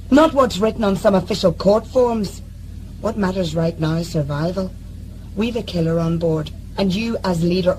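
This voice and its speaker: A woman's voice: medium pitch, a little hiss or hum, close to an everyday voice, rough recording. Woman playing a sardonic, hard-bitten space crew leader. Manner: sardonic, biting, forceful. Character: defiant, sarcastic, hard-bitten female scrap-ship crew leader. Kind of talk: sci-fi audio drama acting.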